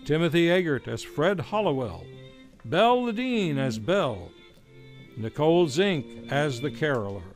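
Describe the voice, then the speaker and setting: Man, radio show announcer, scripted credits, formal enunciation, animated intonation, soft music underneath. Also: a little high, measured pace. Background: faint music.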